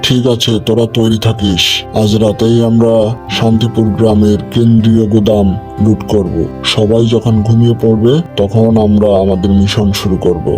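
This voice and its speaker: A low, monotone bandit chief, greedy and sly, as a man acts him out. He gloats and cackles like a cartoon villain, with music behind. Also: normal speed, close to an everyday voice. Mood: scheming. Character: cunning greedy male bandit chief, menacing. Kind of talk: cartoon voice acting.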